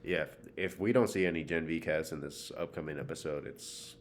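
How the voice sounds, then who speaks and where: An American man co-hosting a podcast, giving blunt opinions on TV in casual, profane slang. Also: fairly quick. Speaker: podcast co-host. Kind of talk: casual TV discussion chat. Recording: faint background noise and rumble.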